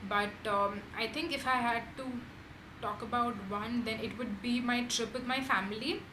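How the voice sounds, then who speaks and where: Young Indian-accented woman vlogger, slightly high voice, chatty with filler words, recorded in a roomy space with steady background noise. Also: normal speed. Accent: Indian English. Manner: chatty, frequent "um" and "like". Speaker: beauty vlogger. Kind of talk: casual chat answering tag questions. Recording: echoey room with background noise.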